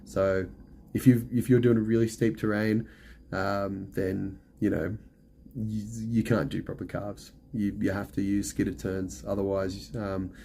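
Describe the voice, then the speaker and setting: A middle-aged man talks loosely on a live stream, his voice hoarse and worn as if he were losing it. The tone sits low and mellow, and he rambles from one point to the next, padding his sentences with ums and you-knows. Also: level tone, unhurried, a little hiss or hum. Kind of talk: casual live stream chat.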